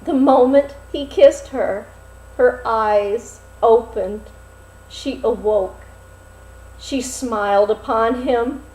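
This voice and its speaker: A woman narrates a storybook tale in a fairly high voice. She takes her time, phrasing each part deliberately with dramatic pauses. The recording is roomy and echoing. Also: warm tone. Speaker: storyteller reading a fairy tale aloud.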